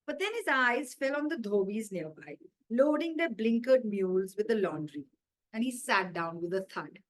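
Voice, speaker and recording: A woman's voice: on the high side, expressive, normal speed. A woman with an Indian accent reading a children's story aloud expressively, as its author.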